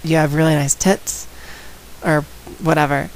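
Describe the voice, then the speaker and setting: A woman with a slight head cold and a raspy voice, talking casually and outspokenly straight to her viewers in a vlog. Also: low voice, steady tone, unhurried, poor audio. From San Antonio, Texas. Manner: outspoken, direct, addresses viewers. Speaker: vlogger. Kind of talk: casual talk to camera.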